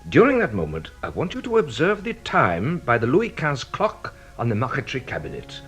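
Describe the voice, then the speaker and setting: Detective, radio drama, self-assured, courteous, very lively intonation, savours each revelation, music underneath. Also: male voice, mid-range voice. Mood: hushed, commanding. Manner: theatrical, deliberate explaining, savouring each revelation. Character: shrewd theatrical French police detective, courteous and self-assured.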